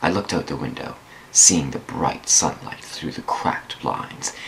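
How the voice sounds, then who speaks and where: A man's voice: rumbling low, a little slow, gravelly, a bit bright, slight background noise, rough recording. A man giving a theatrical dramatic reading of a first-person story, drawing out words for emphasis. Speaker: amateur dramatic reader of online fan fiction.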